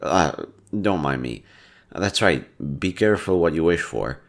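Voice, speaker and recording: A young man with a gravelly, fry-heavy voice, relaxed and gently teasing. He speaks softly to a listener, as in an intimate audio roleplay. His pitch sits very low throughout. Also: measured pace. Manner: laid-back, teasing, soft-spoken. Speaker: voice actor.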